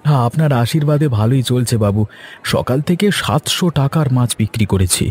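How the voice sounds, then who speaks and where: A man's voice: medium pitch, a little fast, a little mellow, husky, natural voice. A man voicing a humble, trusting village fish seller in a fairy-tale cartoon, speaking respectfully and earnestly.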